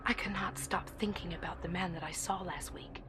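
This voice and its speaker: A woman's voice: on the low side, fairly even intonation, brisk, over music, lightly acted. A woman playing an eager, naive young university student confiding earnestly in an audio drama monologue. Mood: infatuated, wistful. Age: young adult. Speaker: actor. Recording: clear background ambient noise.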